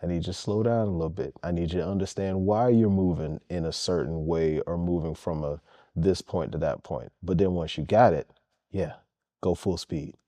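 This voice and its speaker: Deep, dark-voiced man, earnest coach. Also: moderate pace, no room sound. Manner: earnest and emphatic, repeats key points. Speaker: head basketball coach. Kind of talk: interview answers.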